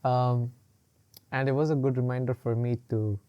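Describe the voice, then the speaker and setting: A man's voice: medium pitch, flat delivery, moderate pace, clear, resonant tone, muffled recording. A man co-hosting a casual podcast, rambling along with lots of fillers and laughing often. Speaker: podcast co-host. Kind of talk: casual chat.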